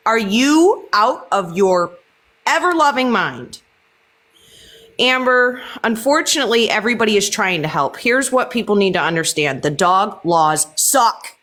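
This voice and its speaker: A woman's voice: mid-range voice, expressive, a little slow, fairly bright tone. A woman in a heated livestream chat, speaking bluntly and lecturing listeners, with frequent swearing. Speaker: livestream guest.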